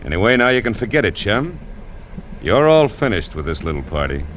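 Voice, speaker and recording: Cocky, low-voiced male radio crook. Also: very animated, brisk. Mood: smug. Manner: wry, clipped, cocky. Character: smug, taunting American crook, a cool man. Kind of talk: old-time radio crime drama acting. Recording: very noisy old radio recording.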